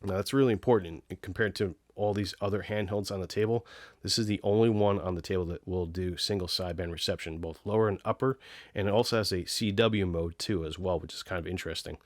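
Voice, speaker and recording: A man's voice: deep voice, fairly even intonation, fast, dry recording, studio quality. A man hosting a casual product review, explaining technical details in an informal way. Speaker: video host reviewing radios.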